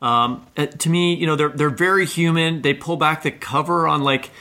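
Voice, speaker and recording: A man co-hosting a conversational literary interview, discursive and halting, often checking in with the listener. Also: fairly high pitch, bright, forceful tone. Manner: discursive, halting phrasing, checks in with "right?" and "you know". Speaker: podcast co-host.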